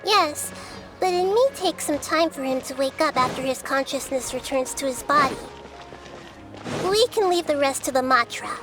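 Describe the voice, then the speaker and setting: A woman voicing a small, wise, gentle goddess who speaks in a measured, formal way in an anime game. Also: sits high, close to an everyday voice. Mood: calm, businesslike. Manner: measured, soft-spoken, formal. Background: background music.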